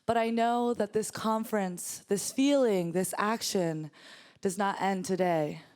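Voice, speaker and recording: A woman's voice: medium pitch, a little slow, a little mellow. A young woman from the San Francisco Bay Area introducing herself, heartfelt, in short phrases. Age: young adult. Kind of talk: personal introduction at a conference.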